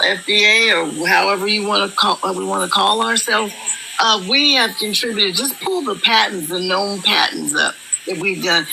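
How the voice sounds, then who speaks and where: A woman's voice: mid-range voice, gravelly, pushed and bright, slight background noise, poor audio. A woman calling in to a live debate, talking insistently and repeating words as she starts her points. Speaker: caller. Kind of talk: call-in debate.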